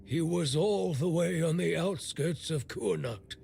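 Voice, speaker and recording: An actor playing a bitter, blunt, middle-aged blacksmith in a video game, grumbling and weary with worry. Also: male voice, on the high side, measured pace, creaky, a little mellow, a little hiss or hum. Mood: weary, worried. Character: gruff, bitter male blacksmith, worried for a stricken young man.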